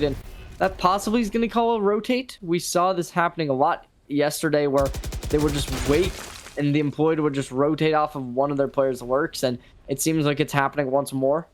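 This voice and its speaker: High-voiced male esports analyst, faint background noise. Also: moderate pace. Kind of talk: analytical video game match commentary.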